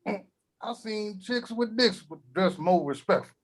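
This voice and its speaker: A man's voice: sits high, lively intonation, a little slow, heavily acted. A man doing a crude cranky old grandpa. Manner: gruff, vulgar, wisecracking. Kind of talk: table read.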